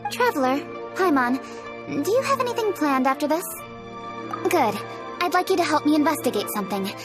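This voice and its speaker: A woman's voice: high-pitched, sing-song, a little fast, music in the background. An actress playing a composed, dutiful young woman official in a video game, with formal, articulate phrasing. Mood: composed, earnest. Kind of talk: scripted video game dialogue.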